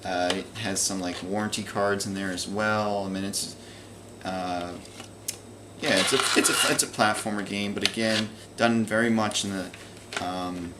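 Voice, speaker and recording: A man's voice: lowish voice, fairly even intonation, measured pace, noisy background. A man casually showing off and talking through his video game purchases, rambling with many fillers and restarts. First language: English. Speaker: video game collector vlogger. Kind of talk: casual unscripted show-and-tell.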